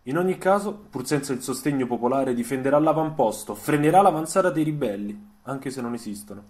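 Male radio storyteller over soft music. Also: medium pitch, animated, brisk. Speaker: storyteller and author. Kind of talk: dramatic scripted radio narration. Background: soundtrack music.

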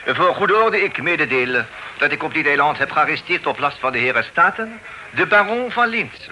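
Pompous, sharp-voiced officious deputy sheriff. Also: male voice, fairly high pitch, expressive, moderate pace. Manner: officious, ornate speech peppered with French words. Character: pompous, flustered male Amsterdam deputy sheriff. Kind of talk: radio play acting. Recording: noisy old radio drama recording.

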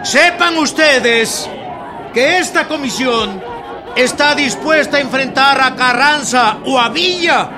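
A man playing an embattled revolutionary general in a radio drama, loudly and insistently addressing an unruly assembly in declamatory style. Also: extremely high pitch, slow pace. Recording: shouting crowd, loud outdoor noise.

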